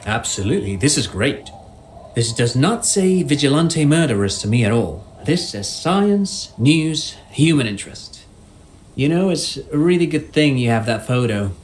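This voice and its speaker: A man's voice: medium pitch, very animated, moderate pace, lightly acted. An actor playing an erudite, eager policeman who loves explaining things, in a precise lecturing manner for a video game. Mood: excited.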